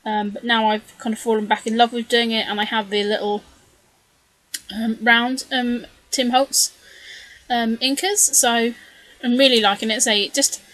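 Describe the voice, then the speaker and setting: A woman's voice: on the high side, flat delivery, normal speed, a bit bright, dry recording, low-quality recording. A woman narrating her scrapbooking process in a chatty, rambling way, full of ums and asides, her voice a little strained. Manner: chatty, rambling, many ums and self-corrections. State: slightly losing her voice. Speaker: scrapbooking video creator. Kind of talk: casual voice-over narration of a craft process.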